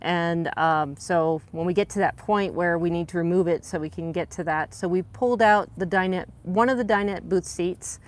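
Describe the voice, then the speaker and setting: A woman with a soft voice co-hosting a casual livestream chat, reading viewer comments aloud and thanking them. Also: fairly low pitch, moderate pace, hardly any pauses. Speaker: livestream co-host.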